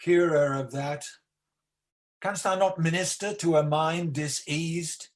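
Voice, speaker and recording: Guy doing an old embattled tyrant king, shakespeare radio play, theatrical, defiant, takes his time, slightly high male voice. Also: steady tone. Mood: agitated, commanding. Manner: declamatory, slow, theatrical. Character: ageing embattled Scottish tyrant king, defiant, scornful, world-weary.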